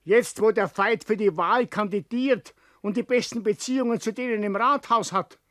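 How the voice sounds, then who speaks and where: A high, sharp-edged old Bavarian father, close and dry as in a sixties radio play. He runs the family firm and will not budge, blustering and grumbling in a domineering way. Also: moderate pace, no echo. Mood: stubborn, scheming. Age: older. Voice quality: short of breath, coughing at times. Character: stubborn, ailing old father, domineering building firm boss. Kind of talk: 1960s German radio drama acting.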